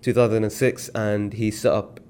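A young British man, telling stories in a relaxed, slangy way as a podcast guest. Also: on the low side, normal speed. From Britain. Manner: relaxed storytelling with slang and fillers. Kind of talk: casual podcast chat.

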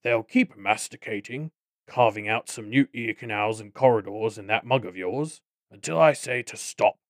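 A man voicing a pompous, menacing goblin boss in an audiobook, with wordy, deliberate speech. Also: lowish voice, dry recording, studio quality, lightly acted. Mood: pompous, controlling. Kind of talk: audiobook character acting.

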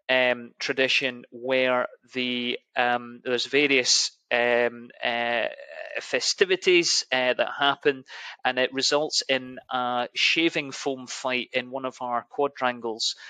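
A man's voice: mid-range voice, sharp tone, no room sound. A man with a Scottish accent, an admissions officer answering interview questions with enthusiasm and frequent restarts. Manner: enthusiastic, hesitant with many restarts.

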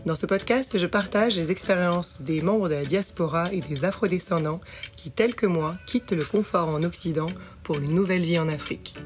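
Low-voiced woman hosting a podcast. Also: normal speed, soft background music. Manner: easygoing, hesitant with frequent fillers in conversation. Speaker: podcast host. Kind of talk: scripted podcast intro, then casual interview chat.

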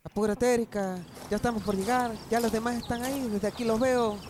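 Guy playing a humble mexican farmer husband, pretty high voice, country talk, radionovela, faint insect noise. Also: fairly even intonation, fairly quick. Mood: urging. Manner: rustic folksy speech. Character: humble, hardworking Mexican peasant husband, earnest and protective. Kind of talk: amateur student radionovela acting.

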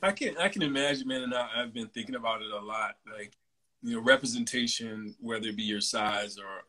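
A man in his mid-forties hosting a casual interview, talking in a relaxed, chatty way with plenty of fillers. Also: mid-range voice, fairly quick. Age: 40s. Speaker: host.

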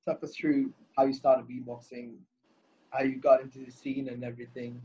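A man's voice: mid-range voice, little pitch movement, moderate pace, warm tone. Enthusiastic male podcast host, lo-fi sound. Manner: enthusiastic, repeats praise words. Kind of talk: casual interview hosting. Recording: lo-fi recording with faint noise.

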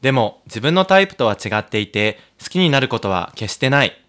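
A man's voice: mid-range voice, very animated, fairly quick, fairly bright tone. A man co-hosting a podcast in casual comic banter, teasing and often laughing as he talks. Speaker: podcast co-host.

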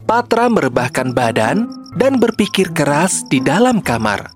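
A woman's voice: low voice, very animated, a little slow, soft background music. A woman narrator reading a scripted children's story.

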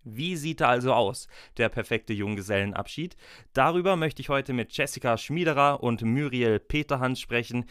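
A man's voice: mid-range voice, moderate pace, fairly bright tone, clean tone, no room sound. Male podcast host, close dry sound. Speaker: podcast host, freelance wedding speaker. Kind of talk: podcast moderation, addressing listeners and guests.

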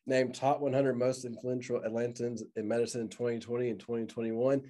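A man co-hosting a podcast, giving guest background and asking questions in a relaxed way full of fillers. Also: mid-range voice, a little fast. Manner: relaxed, filler-heavy speech. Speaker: podcast co-host. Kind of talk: casual podcast chat.

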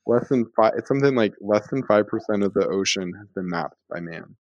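A man's voice: fairly low pitch, lively intonation, brisk, darkish tone, no echo. A man with an American accent chatting casually and playfully, with lots of filler words. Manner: loose and playful, full of "like" fillers. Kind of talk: casual chat. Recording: slightly dull, dry sound.

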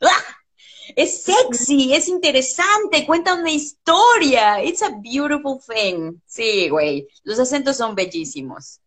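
Blunt, high-voiced woman. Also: sing-song, slow, fairly bright tone. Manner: blunt, passionate, swears freely. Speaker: English teacher. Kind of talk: casual interview chat.

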